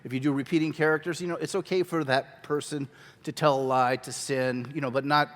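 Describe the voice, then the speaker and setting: A man teaching a children's ministry workshop at a conference, talking energetically and conversationally on a handheld mic. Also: on the high side. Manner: energetic, conversational, quick asides. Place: large church hall. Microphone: handheld microphone. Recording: long hall reverb.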